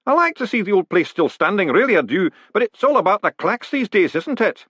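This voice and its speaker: A man doing a resigned, high-voiced old fellow. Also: sing-song, fairly quick, a bit bright, slightly rough, no echo, full character voice. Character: elderly male lodge leader, apologetic, resigned, kindly sceptic. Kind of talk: audiobook character voice.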